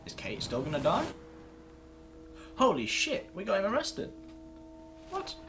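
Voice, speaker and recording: Animated man doing casual gaming commentary. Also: high voice, unhurried, over music. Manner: sweary, thinking aloud. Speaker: gaming video host. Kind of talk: casual let's-play commentary.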